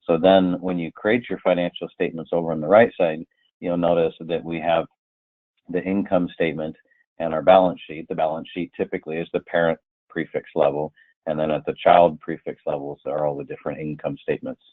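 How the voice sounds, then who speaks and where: A man presenting a software training webinar, explaining slide by slide in a conversational way with hypothetical examples. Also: rumbling low, level tone, fairly quick, no echo. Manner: explanatory, illustrates with hypothetical quotes. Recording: muffled, tinny phone-like line.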